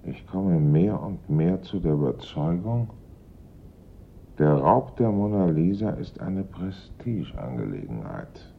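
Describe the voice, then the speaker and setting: Casting: dogged radio-play detective, rock-bottom pitch, grave and reasoning; muffled vintage recording with slight noise. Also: male voice, lively intonation, gravelly, heavily acted. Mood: grave suspicion. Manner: deliberate, earnest, reasoning. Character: dogged male police investigator, grave, brooding, suspicious. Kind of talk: German radio drama acting. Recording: dull old radio recording, noisy rumble.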